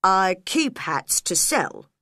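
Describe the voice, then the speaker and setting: Woman voicing a nervous, slow-talking storybook hatter. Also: medium pitch, very animated, bright, forceful tone, dry recording, clean studio sound, exaggerated voice. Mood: earnest, nervous. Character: timid, nervous male hatter, poor tradesman.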